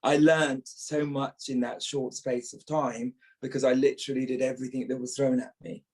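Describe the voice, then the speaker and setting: A man's voice: mid-range voice, level tone, normal speed, a little mellow. British man in his fifties, trained actor's voice. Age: early fifties. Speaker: guest, actor. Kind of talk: reminiscing in a podcast interview.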